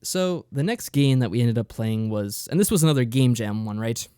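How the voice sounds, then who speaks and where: A man co-hosting a podcast, explaining things in casual, matter-of-fact review chat with deadpan sarcasm. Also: medium pitch, normal speed, clear, resonant tone, dry recording, clean studio sound. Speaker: podcast co-host.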